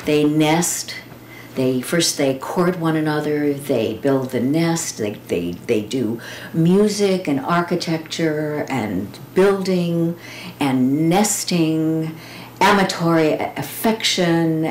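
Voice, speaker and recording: A woman reading poems and quotations aloud with informal commentary, speaking in a reflective, deliberate way with pauses and drawn-out words. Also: low-pitched, animated, a little mellow. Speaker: poetry reader. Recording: roomy echo with clear background noise.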